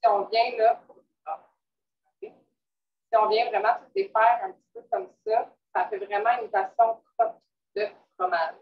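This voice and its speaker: A woman's voice: fairly high pitch, a little slow, pauses often, lo-fi sound. A woman co-presenting a live vegan cooking demonstration, chatty and casual. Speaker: cook co-presenting.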